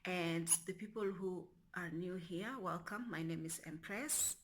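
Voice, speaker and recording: A woman's voice: lowish voice, a little slow, a little hiss or hum. A young adult woman from Kenya chatting casually to her camera in a rambling vlog, often restarting her sentences and dropping to a near whisper. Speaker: vlogger.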